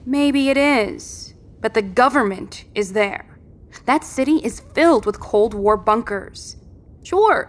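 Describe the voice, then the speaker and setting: A woman's voice: on the high side, gravelly. A woman playing a determined, world-weary apocalypse survivor in an audio drama, speaking in a terse, matter-of-fact and commanding way.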